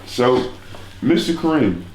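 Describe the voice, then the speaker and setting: A man's voice: mid-range voice, very animated, takes it slowly, a little mellow. A man hosting a casual interview, talking in an informal, slangy and profane way. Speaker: host interviewing musicians. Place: living room of a villa. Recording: echoey room.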